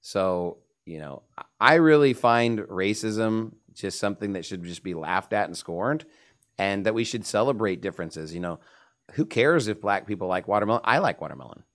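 A man's voice: fairly low pitch, lively intonation, moderate pace. A man giving emphatic, opinionated religious commentary as a panelist in a discussion.

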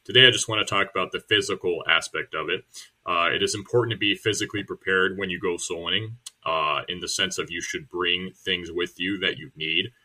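Young man, deep voice, bright tone, relaxed teaching delivery. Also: fairly quick. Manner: relaxed, plain-spoken, many fillers. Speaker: church member teaching soul winning. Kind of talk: casual instructional video.

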